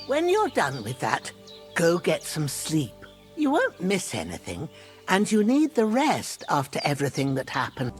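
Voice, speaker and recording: A woman's voice: low-pitched, wildly swooping pitch, a little slow, faint music behind, heavily acted. A woman playing a kindly, grumbling old village herbwoman in a fantasy video game, with folksy rustic speech. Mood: warm, practical. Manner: folksy, rustic, plain-spoken. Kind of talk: dramatic video game acting.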